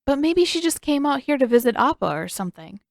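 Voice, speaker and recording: A woman's voice: high-pitched, big pitch swings, brisk, creaky, no room sound, studio quality, voice pushed up, exaggerated voice. A woman voicing a mild, hesitant, skeptical young boy in an audiobook, with a light, tentative delivery. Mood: unsure. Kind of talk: acted audiobook dialogue.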